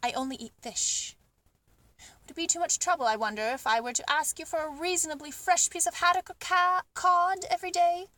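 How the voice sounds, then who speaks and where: A woman's voice: very high-pitched, fairly quick, bright, forceful tone. A woman as a nervous, fussy storybook pelican. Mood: nervous, polite. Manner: meek, apologetic, prone to screeching. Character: nervous, polite, fussy male pelican, excitable.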